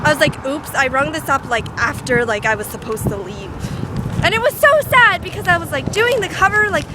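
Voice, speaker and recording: A woman's voice: high-pitched, big pitch swings, a little fast. A teenage girl rambling casually into her phone camera at a bus stop, full of "like" and self-deprecating asides. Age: teens. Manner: chatty, lots of "like", self-deprecating. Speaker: vlogger. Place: outdoors by a bus stop on a street. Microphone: phone camera held at arm's length. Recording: loud traffic noise. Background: passing cars and trucks.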